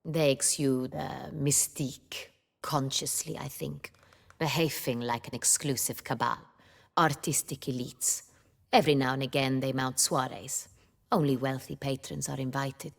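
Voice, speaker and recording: A woman's voice: a bass voice, fairly even intonation, a little slow, creaky, heavily acted. A woman playing an imperious noblewoman ruler in a video game, formal and commanding in manner. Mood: measured, haughty.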